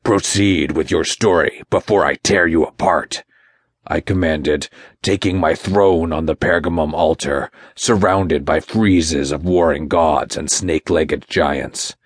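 A man's voice: very deep voice, steady tone, unhurried, slightly rough, dry recording, studio quality, exaggerated voice. A man voicing a pompous, menacing Satan, wrathful king of demons, in a dramatic first-person audiobook narration. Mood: angry, scornful, then haughty.

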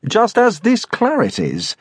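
A man's voice: high voice, wildly swooping pitch, breathy edge, dry recording, voice pushed up, exaggerated voice. A man voicing an educated bookseller who speaks politely and carefully with dry wit. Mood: wry polite. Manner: polite, measured, wry.

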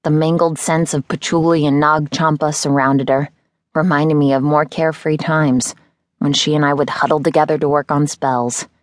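A woman's voice: sits low, little pitch movement, moderate pace, dry recording, clean studio sound. A woman reading a first-person novel as scripted audiobook narration.

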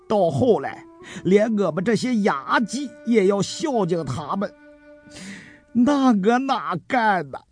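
A man's voice: high voice, sing-song, soft background music, heavily acted. A man playing a beaten-up underling who whines and pleads deferentially to his boss in an audiobook drama. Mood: aggrieved, whining. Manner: tearful, pleading, deferential, drawn-out. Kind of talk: dramatised audiobook character acting.

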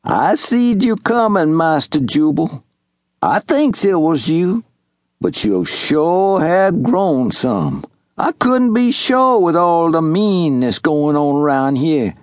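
A man voicing a loyal, humble elderly farm servant who speaks in a slow, earnest Southern rural drawl. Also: on the high side, big pitch swings, no echo, studio quality, pitched above the performer's own voice. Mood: warm. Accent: Southern US rural dialect. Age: older.

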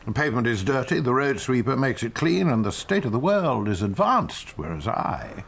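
An actor playing an older English schoolmaster in a radio drama, weary and cynical, speaking in a dry, measured, sardonic way. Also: male voice, medium pitch, very animated, creaky, fairly bright tone, slight background noise. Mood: dry, self-mocking. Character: weary, cynical veteran schoolmaster, bitterly witty.

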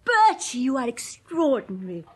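A woman's voice: sits high, sing-song, moderate pace, husky, heavily acted. A woman playing an earnest, domineering young upper-class English lady novelist in a radio comedy, effusive and condescendingly intellectual. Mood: admiring, insistent.

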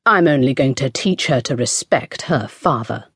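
A storyteller voicing a bad-tempered, scornful sorcerer king who draws out his words slowly. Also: medium pitch, lively intonation, a bit bright, slightly rough, dry recording, clean studio sound, heavily acted. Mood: cold.

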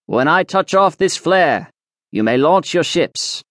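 A solemn, self-important alchemist sage, as a male narrator puts him on, pitched a little above the reader's own voice. He proclaims everything like a formal decree. The sound is dry and close, with no room around it. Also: animated, slow pace, a bit bright, no room sound. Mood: proclaiming. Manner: stately, ceremonial proclamation. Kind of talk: audiobook character voice.

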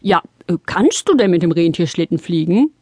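Old Father Christmas, kindly and flustered, very animated delivery, dry studio sound, children's audio drama. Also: sits high, husky, no room sound, exaggerated voice. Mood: thoughtful. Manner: hesitant, puzzled, drawn-out exclamations. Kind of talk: children's audio play acting.

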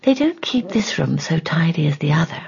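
Curious storybook girl played by a woman, polite, eager, expressive, slightly muffled recording. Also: sits low, moderate pace, vocal fry, exaggerated voice. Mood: hushed wonder. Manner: whispers to herself, exclaims earnestly.

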